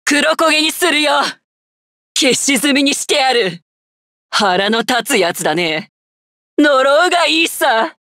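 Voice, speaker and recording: A woman's voice: high-pitched, expressive, moderate pace, pauses often, pushed and bright, no room sound, studio quality, exaggerated voice. A woman voicing a cruel, arrogant female general in a video game, with haughty, scornful, theatrical taunts. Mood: menacing, angry. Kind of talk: Japanese video game character voice lines.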